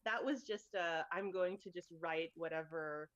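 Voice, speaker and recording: A woman from Thailand talks casually as a guest about her path into game design. Her voice sits a bit low and is recorded dry and close. She peppers her speech with "like" and laughs often. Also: measured pace, fairly bright tone, dry recording, lo-fi sound. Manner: chatty, lots of "like" and laughs. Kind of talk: casual interview chat.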